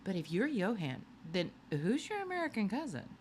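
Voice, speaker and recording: Excitable curious girl voiced by a woman. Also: medium pitch, moderate pace, a little hiss or hum, voice pushed up, lightly acted. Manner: excitable, questioning. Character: lively, curious girl, the boy's best friend.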